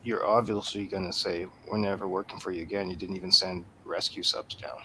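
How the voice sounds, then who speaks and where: A man with a fairly low voice trades casual movie opinions as a guest. He jokes dryly, and there is some faint noise behind him. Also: steady tone, a little fast, pushed and bright, rough recording. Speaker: guest reviewer on a radio show. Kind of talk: casual chat, movie banter. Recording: clear background noise, room ambience.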